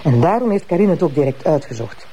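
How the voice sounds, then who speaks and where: A woman playing a blunt, teasing, sharp-tongued prosecutor married to a police inspector in a TV crime drama, with a Flemish accent. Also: deep voice, expressive, fast, husky. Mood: brisk, explaining. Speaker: actress in a TV crime series. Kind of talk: naturalistic TV drama acting.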